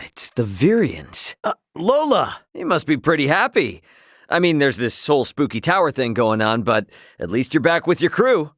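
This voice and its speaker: Young adventurer hero, easygoing, cocky yet loyal, wry casual delivery, mid-pitched, very animated intonation, dry close sound. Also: male voice, no room sound. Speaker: video game voice actor. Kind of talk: acted video game dialogue.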